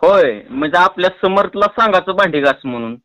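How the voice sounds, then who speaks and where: A man's voice: high-pitched, lively intonation, brisk, fairly bright tone, dry recording. A man with a rural Marathi accent making a prank phone call, teasing and coaxing in a playful, pestering way. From Tuljapur, Maharashtra, India. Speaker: prank caller. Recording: close, dry phone call recording.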